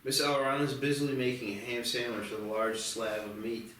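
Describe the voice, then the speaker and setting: A man's voice: mid-range voice, little pitch movement, fairly quick, fairly bright tone. A man reading out screenplay stage directions as narrator at a table read. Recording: echoey room, distant mic, faint noise.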